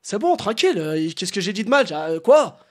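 A young man making a prank phone call, taunting and trash-talking in rapid bursts, from a small room into a studio mic. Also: high-pitched, big pitch swings, no room sound. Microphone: studio mic on stand, wearing headset.

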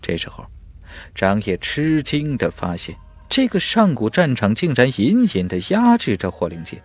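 A man's voice: medium pitch, huge pitch swings, normal speed, no echo. A man narrating a fantasy audiobook in a dramatic, scripted storytelling style.

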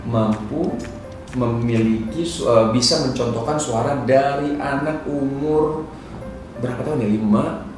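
A man in his thirties, the founder of a children's cartoon project, talking casually in a documentary interview with pauses and restarts. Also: medium pitch. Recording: echoey room, distant mic, music bed.

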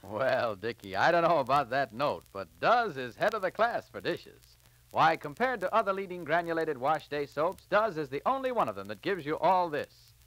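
A man's voice: a little high, very animated, moderate pace, fairly bright tone, dry recording, poor audio. A man announcing on 1940s radio in a scripted, old-time broadcast style. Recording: vintage 1940s radio transcription disc.

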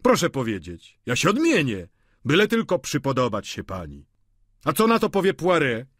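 Man doing boisterous sly older man, loud mocking jokes, husky. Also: mid-range voice, huge pitch swings, moderate pace, no echo, clean studio sound, pitched above the performer's own voice.

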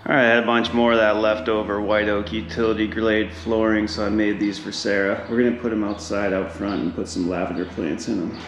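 A man's voice: lowish voice, little pitch movement, brisk, few pauses, slight background noise. A man narrating a DIY renovation vlog in a casual, informal way, explaining things hands-on as he works. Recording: echoey room, music underneath.

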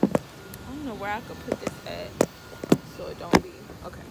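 A woman's voice: mid-range voice, huge pitch swings, moderate pace. A young woman vlogging on her phone outdoors by her car in the cold, frustrated and swearing as she talks to her viewers. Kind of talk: casual vlog. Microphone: phone camera held low. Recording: loud outdoor noise.

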